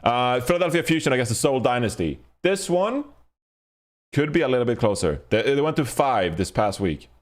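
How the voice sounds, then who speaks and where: A man's voice: medium pitch, very animated, brisk. A man hosting a casual esports panel, moderating and talking analytically through match predictions. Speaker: podcast host.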